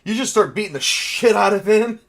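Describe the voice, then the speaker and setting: A man casually narrating a tabletop role-playing game as game master, excitable and quick to swear. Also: high-pitched, creaky.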